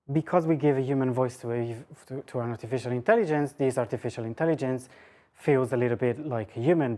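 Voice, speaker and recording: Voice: medium pitch, a little mellow. A woman lecturer giving an academic public talk, mixing scholarly phrasing with informal asides.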